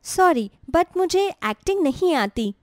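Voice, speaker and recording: A woman voicing a patient, forgiving young elder sister who speaks politely and calmly in a story. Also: very high-pitched, slow pace, no room sound, studio quality, voice pushed up, heavily acted.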